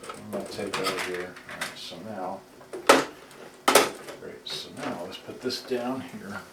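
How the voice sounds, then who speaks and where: Loud noise surrounds a man recorded from a distance in an echoey room. His voice is on the low side. He talks casually and haltingly, muttering to himself as he demonstrates equipment. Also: gravelly. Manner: halting, muttering, thinking aloud while handling gear. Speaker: repairer demonstrating cassette players. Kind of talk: casual unscripted product demonstration. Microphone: camera on tripod at some distance. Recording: roomy, distant mic, loud background noise.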